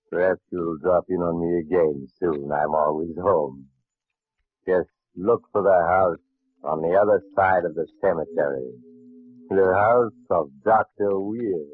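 An actor playing a genteel, sinister mad doctor who addresses the listener with mock politeness in old radio horror style. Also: male voice, low-pitched, husky. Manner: mock-polite, macabre, unhurried storyteller. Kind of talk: 1940s radio horror monologue. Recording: muffled, tinny old radio recording.

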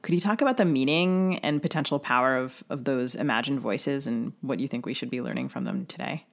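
A woman's voice: a bass voice, animated, a little fast. A woman co-hosting an interview, putting prepared questions in articulate, formal phrasing. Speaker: podcast co-host interviewer.

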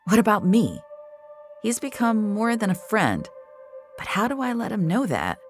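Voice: mid-range voice, big pitch swings, normal speed, soft background music, natural voice. A young adult actor playing a lonely, streetwise young gamer who narrates an audio drama in a wry, confessional, profane way. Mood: hurt. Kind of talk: first-person scripted audio drama narration.

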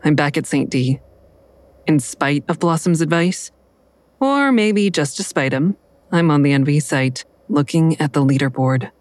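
A woman's voice: deep voice, lively intonation, unhurried, darkish tone, no room sound. A woman playing a troubled, defiant suspect who narrates her own story in an audio drama, pointed and anxious. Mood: guarded narrating. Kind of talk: first-person audio drama narration and dialogue. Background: music.

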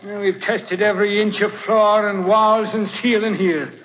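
An actor playing a gruff, stubborn police lieutenant in a 1940s radio drama, blunt in his talk. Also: high voice, little pitch movement, a little slow. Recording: muffled old radio broadcast, faint hiss.

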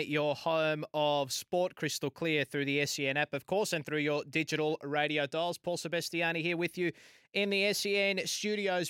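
A man hosting late-night sports radio, with a smooth, resonant voice pitched a little high. The studio sound is close and dry, and he keeps the chat moving with encouraging words and fillers. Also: pushed and bright, dry recording. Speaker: overnight radio sports host.

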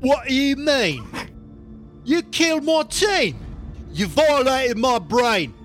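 A man's voice: very high-pitched, sing-song, slow, faint music behind, voice pushed up, heavily acted. An enraged comic-book vigilante with a Northern English accent, acted out by a man. He is indignant and defiant, flinging insults in a raised, swooping voice. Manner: indignant, insulting, shouted outbursts.

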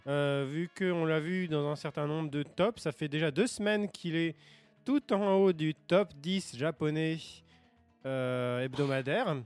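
Man, higher voice, playful co-host, halting delivery. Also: faint music behind. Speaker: podcast co-host. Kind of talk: casual gaming news chat.

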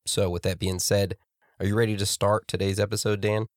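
A man hosting a casual podcast, congested from a cold, chatty and quick to laugh. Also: lowish voice, flat delivery, dry recording, studio quality. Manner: laughing, chatty, talks over his co-host. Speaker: podcast host.

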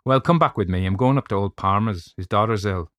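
A man voicing a brisk, blunt young Irish doctor in an audiobook, hearty and teasing with an Irish accent. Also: on the low side, fairly bright tone, no echo, clean studio sound, lightly acted. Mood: amused, brisk. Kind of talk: audiobook character voice.

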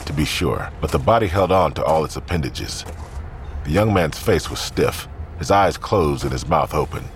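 A man's voice: rumbling low, a little hiss or hum. A man reading scripted narration for an audio drama.

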